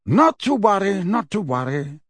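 A man reading aloud, voicing a calm, pleasant elderly wizard who speaks in an unhurried way. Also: high voice, husky, no echo, pitched above the performer's own voice, heavily acted.

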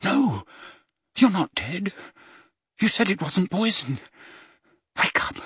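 A man's voice: high-pitched, very animated, takes it slowly, fairly bright tone, breathy edge, no echo, clean studio sound, voice pushed up, exaggerated voice. A man voicing a frightened teenage boy wizard who pleads and reassures desperately.

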